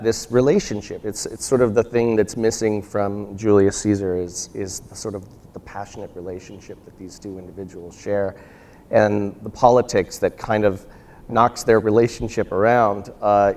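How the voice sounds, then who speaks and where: A man in a casual panel discussion as a theater practitioner, halting and repeating words as he thinks aloud. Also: on the low side, darkish tone.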